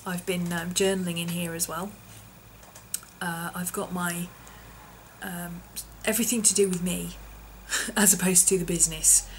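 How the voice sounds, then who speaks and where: Woman vlogger, hoarse and husky with a lowish pitch, rambling casual chat, faint hiss behind her. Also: little pitch movement, unhurried. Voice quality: husky, often whispery. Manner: rambling, lots of ums, small laughs. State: hoarse, voice partly lost from infection. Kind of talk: casual chatty vlog.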